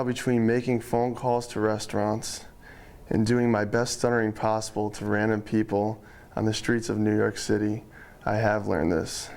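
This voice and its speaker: A young man giving a prepared speech to friends and family, speaking slowly and deliberately in a controlled way. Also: fairly low pitch, vocal fry, a little mellow. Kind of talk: prepared speech read to an audience. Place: room with a small audience of friends and family.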